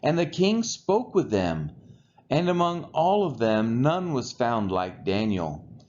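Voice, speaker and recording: A man reading the Bible aloud from the text in a measured, deliberate way, phrase by phrase. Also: mid-range voice, big pitch swings, a little mellow, dull audio, slight background noise. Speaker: scripture reader.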